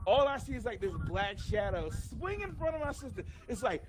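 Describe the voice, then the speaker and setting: A man with a high, very animated voice tells stories in a casual, slangy way, swearing freely. He acts out each bit of dialogue. The game chat recording is clearly noisy, with clicks. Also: moderate pace. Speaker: gaming channel player telling childhood stories. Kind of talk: casual storytelling over online game chat.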